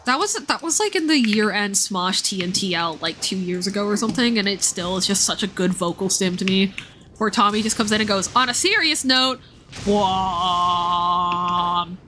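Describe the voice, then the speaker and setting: A woman livestreaming a video game, talking casually and explaining things in a thoughtful, halting way. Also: medium pitch, expressive, a bit bright, slight background noise. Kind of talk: casual game stream commentary and read-aloud. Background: music.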